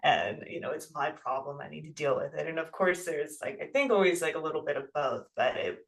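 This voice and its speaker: Guest from the United States, thoughtful and halting, distant mic. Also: medium pitch, gravelly. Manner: thoughtful, halting, many fillers, soft laughs. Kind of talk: reflective casual interview talk.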